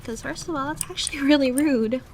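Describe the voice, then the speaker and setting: Woman acting as a sulky, creaky-voiced jealous gamer girlfriend. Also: high-pitched, a little fast. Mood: jealous, upset. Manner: pouty, grumbling, irritated. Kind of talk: roleplay audio, half-improvised casual talk. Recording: noisy room, clattering computer keyboard. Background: loud keyboard typing and background noise.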